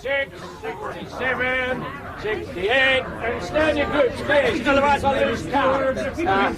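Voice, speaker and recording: Brisk, high-voiced airline crewman. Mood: brisk, harried. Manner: brisk, informal, calling out. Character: brisk, practical airline crewman marshalling passengers. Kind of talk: radio drama acting. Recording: busy outdoor crowd and vehicle background.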